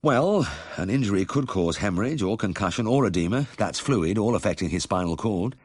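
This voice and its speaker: A man's voice: on the low side, lively intonation, brisk, slightly rough, no echo, studio quality, pitched above the performer's own voice. A man voicing a kindly, careful country vet who explains things politely in a story reading. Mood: careful, explanatory. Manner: courteous, explanatory.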